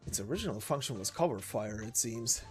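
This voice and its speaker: A man voicing a curious, measured weapons engineer in a game story, speaking precisely and matter-of-factly. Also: mid-range voice, animated, soft background music, voice pushed down, lightly acted. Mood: detached.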